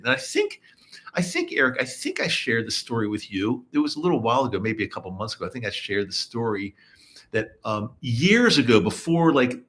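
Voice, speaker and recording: Middle-aged guy rambling on a live podcast from home, mid pitch, lots of restarts. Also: fairly bright tone. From East Coast of the US. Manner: rambling, repeats words and restarts often. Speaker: podcast host. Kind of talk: casual live-stream chat with listeners. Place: home, in Utah.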